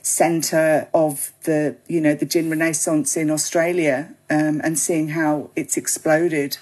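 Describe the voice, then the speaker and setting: Guest spot: British woman, low voice, chatty and meandering. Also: flat delivery, slow, a little mellow. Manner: chatty, rambling with many ums and you knows. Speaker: podcast guest, gin writer. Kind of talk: relaxed interview chat.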